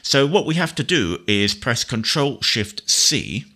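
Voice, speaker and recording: A man presenting a casual software tutorial in a conversational, explanatory way. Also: medium pitch, sing-song, a little slow. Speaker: presenter demonstrating screen reader software.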